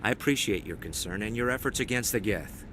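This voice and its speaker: An actor playing an earnest, courteous leader of an embattled colony in a sci-fi video game. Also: male voice, brisk, fairly bright tone, close to an everyday voice. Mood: earnest, weary. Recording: noisy rumbling ambience behind voice.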